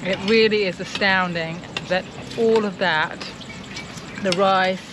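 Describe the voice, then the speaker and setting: A woman's voice: mid-range voice, steady tone, slow. A woman in her forties giving casual travel commentary outdoors as a vlogger. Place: outdoors on streets and at marina. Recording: noisy outdoor recording with street and vehicle sounds.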